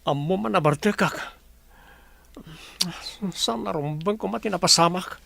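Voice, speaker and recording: A man's voice: sits high, a little slow, gravelly, sharp tone, full character voice. A man playing a troubled, brusque boss in a radio drama. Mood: troubled, remorseful. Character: troubled, brusque male boss with a guilty conscience.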